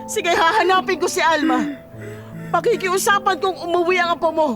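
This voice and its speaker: A woman playing a sharp-tongued, exasperated Filipino daughter pleading with her father in a melodramatic radio drama. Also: steady tone, normal speed, music in the background. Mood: tearful surrender. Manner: shrill, frantic, melodramatic.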